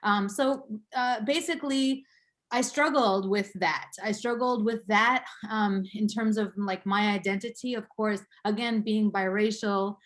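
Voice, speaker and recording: A woman's voice: medium pitch, fairly even intonation, unhurried. A woman in her thirties from Boston, telling her life story as an interview guest, reflective and full of fillers and small laughs. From Boston, USA. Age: 30s.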